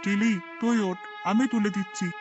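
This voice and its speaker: A woman voices a kindly, protective village woman with a mid-pitched, mild and cautioning way of talking. The cartoon dialogue sounds dull and lo-fi, with music clearly behind it. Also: fairly even intonation, normal speed, natural voice. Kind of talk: cartoon fairy-tale dialogue.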